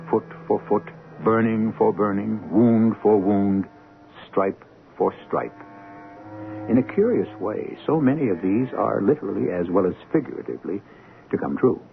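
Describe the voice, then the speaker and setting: Male host, low gravelly voice, deliberate dramatic narration, narrow-band old radio sound. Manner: deliberate, theatrical. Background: music.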